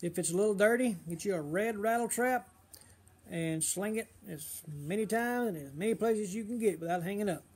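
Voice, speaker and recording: A middle-aged man, slightly hoarse, chatting casually on a fishing livestream and giving advice with lots of uh and um. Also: high-pitched, normal speed. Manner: rambling, full of uh and um fillers.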